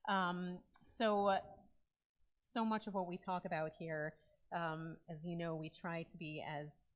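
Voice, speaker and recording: A woman clergy member giving informal remarks to her congregation during a Shabbat service, talking conversationally with frequent ums. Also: mid-range voice. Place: synagogue sanctuary.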